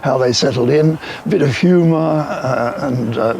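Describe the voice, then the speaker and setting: An older man, a composer and former opera baritone, speaking with a scratchy voice in an interview, often hesitant with fillers. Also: moderate pace, gravelly, darkish tone. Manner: hesitant, with many fillers and repeats.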